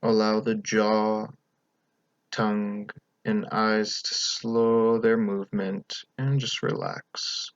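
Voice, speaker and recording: A man leading a guided meditation as the teacher, speaking in a calm, gentle, unhurried way with pauses between instructions. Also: fairly low pitch, no room sound, lo-fi sound.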